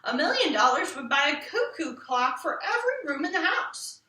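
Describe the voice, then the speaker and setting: Female children's book reader, very lively intonation and bright tone, recorded from a distance in a roomy space. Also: high-pitched, moderate pace. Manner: animated, acts out the quoted lines. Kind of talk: expressive storybook read-aloud. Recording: roomy echo, distant from the mic.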